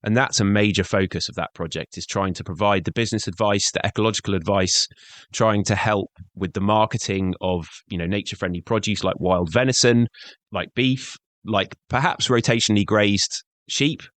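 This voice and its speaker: A man's voice: on the low side, steady tone, moderate pace, a bit bright, dry recording. A middle-aged man, a conservationist, answering interview questions earnestly, often saying "you know". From born in Scotland, grew up in Devon. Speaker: conservationist, guest.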